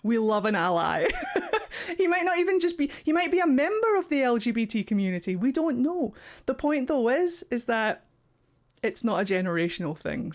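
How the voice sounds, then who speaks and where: A man with a Scottish accent, hosting casual reaction commentary from his warm home office, animated and encouraging, sometimes swearing in passing. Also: high voice. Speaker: YouTube reaction host.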